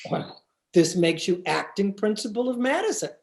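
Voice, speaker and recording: Pedantic, earnest male biology teacher played by a woman. Mellow tone, very lively pitch, radio sitcom. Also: moderate pace. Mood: polite. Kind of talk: 1950s radio comedy acting.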